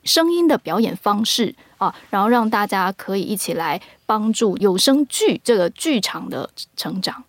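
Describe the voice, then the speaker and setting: A woman's voice: mid-range voice, very animated, moderate pace, few pauses, no room sound. Earnest Taiwanese woman, dry sound. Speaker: audio drama producer and guest. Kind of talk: casual explanatory interview talk.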